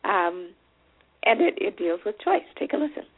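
A woman's voice: mid-range voice, sing-song, normal speed, slightly rough. A woman hosting a radio interview, asking thoughtful, probing questions. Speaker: radio show host. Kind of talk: casual interview chat. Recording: phone-like radio audio.

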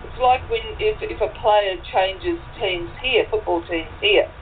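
An Australian woman answers questions in an easy chat, working through her explanations slowly with fillers and repeated words. Her voice sits at a middle pitch. She is heard over a narrow, phone-like line with a light hiss. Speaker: guest. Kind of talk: casual interview chat. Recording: tinny phone-like line, faint noise.

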